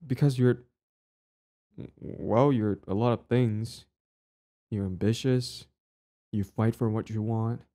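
A man's voice: lowish voice, mellow, natural voice. A young man acting a possessive, lovestruck bully in an intimate boyfriend audio roleplay, speaking earnestly with hesitant stammers.

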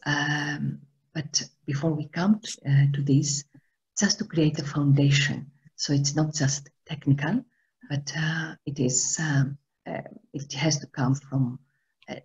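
A woman's voice: low-pitched, steady tone, unhurried, darkish tone, husky. A woman in her sixties with a Greek accent, teaching a meditation workshop over a video call, hesitant and full of fillers. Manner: hesitant, many fillers, searches for words. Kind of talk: slow, halting workshop talk. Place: home room, indoors. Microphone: video call webcam. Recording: dull lo-fi video call audio.

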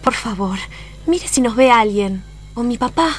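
An actress playing an anxious, secretive young sweetheart in a tango radio play, pleading dramatically with an Argentine accent. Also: female voice, fairly high pitch, expressive, measured pace. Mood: nervous. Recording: music behind the voice.

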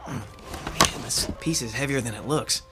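A man's voice: mid-range voice, normal speed, music in the background, close to an everyday voice. Guy playing a wry skeptical stagehand, dry teasing delivery, radio play. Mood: worried. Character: Alan, wry skeptical male theatre techie. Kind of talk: audio drama acting, conversational.

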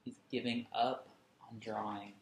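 A man in his thirties reading a picture book to young children expressively, with emphatic, deliberate delivery and explanatory asides. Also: fairly low pitch, flat delivery, vocal fry, a little hiss or hum. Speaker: children's read-along host. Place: small indoor room at home. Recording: echoey room, camera at a distance.

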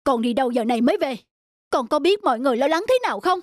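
Casting: busy scolding mum for a cartoon, brisk, higher-pitched. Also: female voice, expressive, over music. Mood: worried, scolding. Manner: brisk, chiding. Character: busy, scolding mother of a young schoolgirl. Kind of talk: cartoon dialogue.

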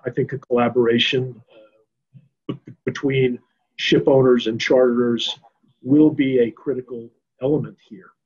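An older man speaking off the cuff on a video call, measured and halting, repeating words as he thinks. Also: mid-range voice, a little mellow, slightly rough. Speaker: panelist in a business discussion. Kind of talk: unscripted conference discussion. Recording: slightly dull video-call audio.

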